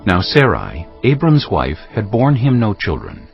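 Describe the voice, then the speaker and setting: Man, very low voice, animated intonation, solemn scripture storytelling, slightly muffled, soft music behind. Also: a little slow, vocal fry. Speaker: narrator. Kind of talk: dramatic scripted scripture narration. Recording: slightly dull sound over music.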